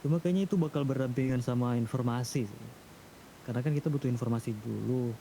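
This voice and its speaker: Man, rapid pace, casual gamer chat, obvious rumble behind. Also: dark tone. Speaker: GTA roleplay gamer in a group meeting. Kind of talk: casual group chat in an online game. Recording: noisy gaming mic with rumble.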